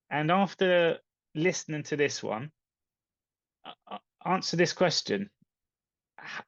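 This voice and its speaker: A man's voice: fairly high pitch, dry recording, lo-fi sound. A man talking casually and earnestly to viewers as a coach recommending something, in short emphatic phrases. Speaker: spiritual coach and video host.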